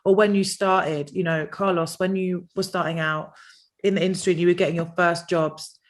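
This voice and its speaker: A woman's voice: on the low side, fairly even intonation, moderate pace. A woman hosting a panel discussion, leading into her questions with long, winding setups. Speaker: panel host.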